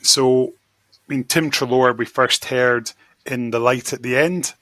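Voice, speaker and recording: A man's voice: mid-range voice, steady tone, takes it slowly, pushed and bright, no room sound. A man speaking as a podcast guest, giving his opinions in casual conversation. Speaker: podcast guest, magazine editor.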